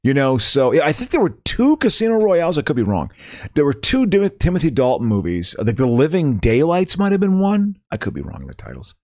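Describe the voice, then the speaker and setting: A man's voice: a little high, huge pitch swings, fairly quick, darkish tone, no room sound, studio quality. A man co-hosting a podcast, chatting loosely with stammers and laughs. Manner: rambling, laughing, stammering restarts. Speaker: podcast co-host. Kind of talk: casual chat.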